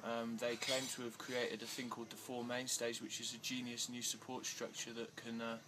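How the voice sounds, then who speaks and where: Male interviewee, mid-pitched, halting delivery with restarts, noisy outdoor ambience. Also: monotone. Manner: hesitant, many fillers and restarts, growing agitated. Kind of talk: casual interview answers. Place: outdoors in India.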